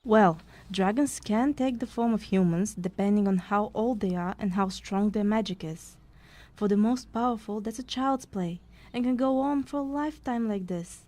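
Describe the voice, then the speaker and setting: A woman playing a brisk storyteller, narrating a mock fantasy tale in a scripted audio play. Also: medium pitch, a little mellow, natural voice.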